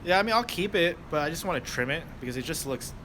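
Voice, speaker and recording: A man's voice: a little high, expressive, fast, bright, forceful tone. Young American man, casual livestream host, rambling and easygoing, street traffic behind him. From United States. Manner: rambling, loose, lots of fillers and restarts. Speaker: delivery driver livestreamer. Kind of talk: casual livestream chat answering viewers. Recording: noisy outdoor street background. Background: traffic and street noise.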